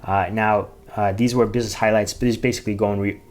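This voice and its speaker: A man giving informal, unscripted finance commentary, reading out figures in a halting, repetitive way and often correcting himself. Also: lowish voice, steady tone. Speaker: finance YouTuber reviewing company earnings.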